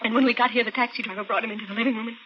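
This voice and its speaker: Frightened young woman played by an actress, high voice, halting and stammering, 1940s radio drama, muffled with music. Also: steady tone, a little hiss or hum, close to an everyday voice. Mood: insistent, distraught. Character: frightened, shaky young woman insisting she saw a corpse.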